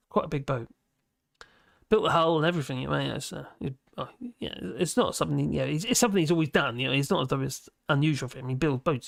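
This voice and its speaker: Male, 50s, livestream host; rambling, self-mocking, gravelly fry, close dry sound. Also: a little high, no room sound. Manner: chatty, chuckling, self-mocking asides. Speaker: livestream host reading chat. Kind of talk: casual rambling chat. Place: home office at his desk.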